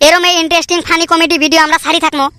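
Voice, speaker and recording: High-voiced woman, cheeky banter, bright and quick. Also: level tone, no echo, clean studio sound. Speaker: cartoon channel creator and voice of several characters. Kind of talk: cartoon comedy voice acting.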